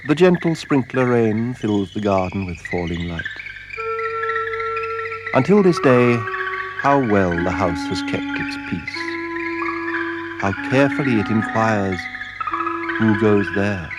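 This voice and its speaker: Male narrator, lowish voice, solemn deliberate phrasing, radio story, prominent music behind. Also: huge pitch swings, low-quality recording. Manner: measured, solemn, deliberate phrasing with dramatic pauses.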